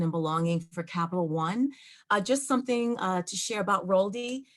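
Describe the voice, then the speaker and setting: Moderator, woman, lowish voice, polite and scripted with frequent fillers, heard over a video call. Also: a bit bright. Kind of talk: event introduction and interview.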